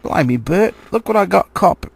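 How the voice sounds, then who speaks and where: A man's voice: high voice, lively intonation, normal speed, creaky, voice pushed up, full character voice. A man voicing a big, crude, grumbling troll in a storybook, with a coarse, blustering, slangy manner. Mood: suspicious.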